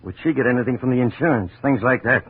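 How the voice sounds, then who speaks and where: A man's voice: medium pitch, quick pace, breathy edge, natural voice. A man playing a bitter, worried steelworker. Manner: bitter, world-weary. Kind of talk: 1950s radio drama acting. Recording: tinny old radio broadcast.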